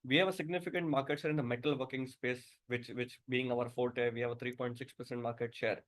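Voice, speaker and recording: A man with an Indian accent giving a business presentation as a company director, halting and often repeating words. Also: medium pitch, fairly even intonation.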